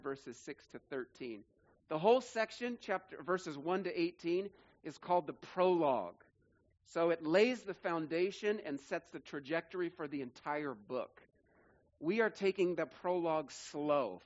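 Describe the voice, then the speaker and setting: A man's voice: a little high, dull audio. Man in his forties preaching a sermon. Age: 40s. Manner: earnest preaching, building emphatic lists. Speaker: pastor.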